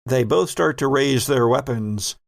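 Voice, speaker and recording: A man narrating a horror tabletop roleplaying game as game master, with dramatic, drawn-out delivery. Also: mid-range voice, gravelly, no echo, studio quality.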